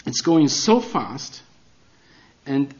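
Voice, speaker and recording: A man's voice: medium pitch, sing-song, extremely slow, a little mellow. German-accented guy preaching, earnest, kinda dull lo-fi sound. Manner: earnest and self-deprecating, with non-native phrasing. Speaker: guest preacher. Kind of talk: sermon.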